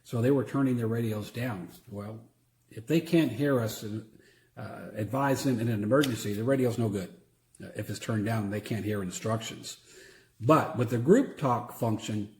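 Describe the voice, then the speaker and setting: A man giving a casual, unscripted product demonstration in a halting, plain-spoken way. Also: on the low side, darkish tone, a little hiss or hum. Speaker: product reviewer; church security team member. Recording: roomy echo.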